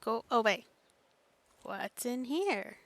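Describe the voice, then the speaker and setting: A woman's voice: fairly high pitch, lively intonation, slow pace, a bit bright. A woman game streamer giving casual solo commentary, muttering reactions to herself as she plays.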